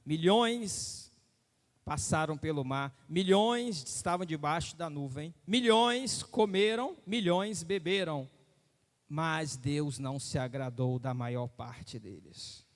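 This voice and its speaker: A man's voice: a little high, slow, long reverb tail. A man preaching a sermon, emphatic and repetitive, often asking the listeners whether they follow him. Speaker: pastor.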